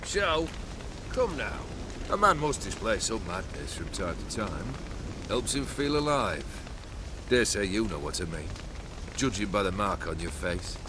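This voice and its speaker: A man's voice: on the low side, very animated, a little slow, background noise. An actor playing a proud, world-weary immortal nobleman in a video game, sardonic and theatrical with formal old-fashioned phrasing.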